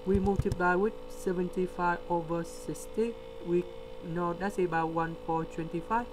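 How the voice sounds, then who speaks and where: A man with a delivery, explaining a word problem step by step in a screen-recorded lesson. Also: high-pitched. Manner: slow, step-by-step explaining with pauses. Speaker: tutor. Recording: steady electrical hum behind.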